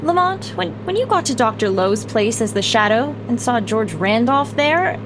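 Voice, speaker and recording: A woman's voice: a little high, expressive, moderate pace, noisy background. A woman playing a detective's concerned, inquisitive companion in earnest 1950s radio drama style. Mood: curious, concerned. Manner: earnest, questioning.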